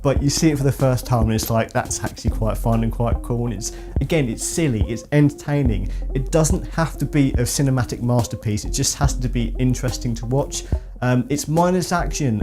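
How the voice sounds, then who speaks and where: A man hosting a video game channel, giving casual, rambling opinions in an informal, meandering way. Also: medium pitch. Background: background music with guitar.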